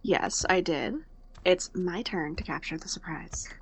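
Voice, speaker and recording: A woman's voice: fairly low pitch, unhurried, slightly rough, whispered. A woman acting in an audio drama as a sardonic young woman, blunt and teasing but fond underneath. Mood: playful teasing. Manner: dry, blunt, teasing sarcasm. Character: sardonic young woman, hurt but fondly teasing older-sister type.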